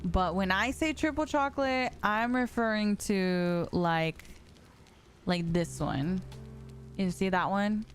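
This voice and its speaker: A woman in her late twenties, talking casually as a live streamer in the middle of a game. She chats freely, reads her viewers' messages aloud and answers them as she plays. Her pitch is average for a woman, and clear background music plays under her voice. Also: slow. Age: 20s. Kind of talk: casual live-stream chat while gaming.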